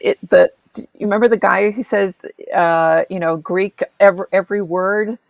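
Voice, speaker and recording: A middle-aged American woman, an author, chatting in an interview and telling animated family stories, laughing often and acting out the voices of people she quotes. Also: medium pitch, moderate pace. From United States. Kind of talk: casual interview conversation.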